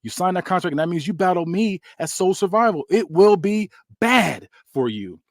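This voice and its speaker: A man voicing a scheming male wrestling champion who talks smoothly and self-servingly. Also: sits high, lively intonation, moderate pace, no echo, studio quality, pitched above the performer's own voice. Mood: pleading. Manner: smooth-talking, manipulative.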